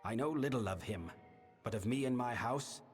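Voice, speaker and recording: A male actor voicing a grave, burdened fae king in a fantasy game, speaking formally and in a measured, solemn manner. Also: mid-range voice, lively intonation, lightly acted. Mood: grave, wary. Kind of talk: scripted fantasy game dialogue. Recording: long reverberant hall, music behind. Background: music.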